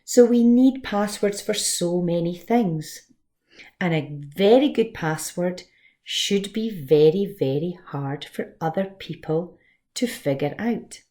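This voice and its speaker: A woman leads a read-along lesson for young children. Her delivery is slow and very animated in pitch. She explains things simply and expressively, as a teacher would. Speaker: storyteller and teacher reading to children.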